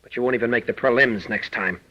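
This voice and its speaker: A man's voice: medium pitch, fast talker, close to an everyday voice. A man playing a jealous, jilted bodyguard in an old radio drama, bitter, clipped and menacing. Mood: sneering. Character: jealous jilted male bodyguard, bitter small-time tough. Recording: dull muffled vintage radio recording.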